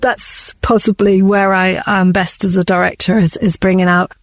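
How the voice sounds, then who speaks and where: Woman, middle pitch, earnest, encouraging, conversational interview answers. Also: flat delivery, moderate pace, no room sound. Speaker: drama director being interviewed.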